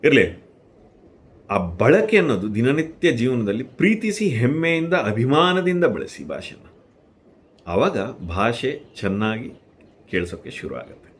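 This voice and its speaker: A man giving instructional tips to viewers, earnest and emphatic on key words, with deliberate pauses. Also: mid-range voice, very animated. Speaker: host giving language-speaking tips.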